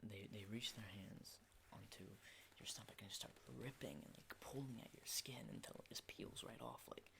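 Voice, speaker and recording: A man playing an unhinged, menacing survivor of a zombie apocalypse in a halting, intimate ASMR roleplay, in breathy whispers. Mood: morbid fascination. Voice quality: breathy whisper with low creaky undertones.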